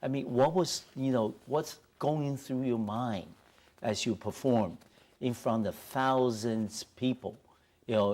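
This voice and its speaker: A man's voice: medium pitch, a little mellow. A middle-aged man hosting a TV interview show in a studio, polite and leaning on "you know" fillers, on a lapel mic. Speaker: TV talk show host.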